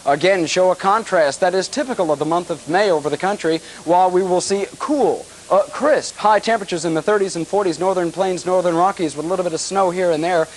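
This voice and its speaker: A man's voice: sits high, a little fast, hardly any pauses, rough recording. An American man giving a television weather forecast in a breezy, conversational way with clipped, ad-libbed phrases. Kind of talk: ad-libbed weather forecast, chatty broadcast delivery. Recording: hissy old 1980s TV broadcast tape.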